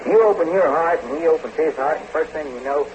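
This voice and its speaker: A man's voice: fairly high pitch, fast, muffled recording, lightly acted. Easygoing drawly adventurer guy played by a man, folksy talk, vintage radio serial, lots of hiss. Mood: bashful, teasing. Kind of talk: 1940s radio serial acting. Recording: noisy old radio recording.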